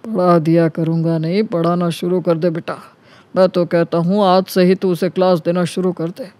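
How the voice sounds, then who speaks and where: A man voicing a stern, grumbling middle-aged father with a fussy, authoritative manner in an animated story. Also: sits high, normal speed, close to an everyday voice. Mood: insistent. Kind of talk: animated Hindi story character acting.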